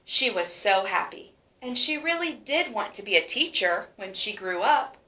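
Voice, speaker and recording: A woman reading a children's story aloud in an animated way, stretching words for emphasis. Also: medium pitch, moderate pace. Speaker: storybook reader. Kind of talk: expressive children's read-aloud. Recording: roomy, echoing sound.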